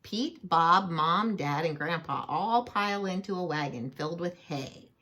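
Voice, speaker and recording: Woman, children's storyteller, slightly low pitch, husky, very lively intonation, playful, chatty asides. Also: measured pace. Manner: playful, expressive, asks the listener questions. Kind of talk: children's picture-book read-aloud with chatty asides.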